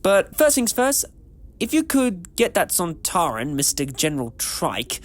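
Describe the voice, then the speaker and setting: A man playing an eccentric, affectionate time-travelling adventurer with an excitable manner in a fan audio drama. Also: sits high, measured pace, noisy background, full character voice. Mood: urgent, brisk. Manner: animated, exclamatory.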